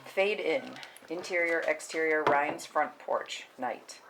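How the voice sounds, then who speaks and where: A woman's voice: low voice, takes it slowly, background noise. A woman narrating a film script's stage directions at a table read.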